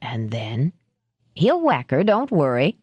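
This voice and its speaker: A cocky hired thug who talks in a casual, breezy way, as a woman reading the story puts him on. The voice sits low and the recording is dry and close. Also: huge pitch swings, slow pace, husky, no room sound, lo-fi sound, exaggerated voice.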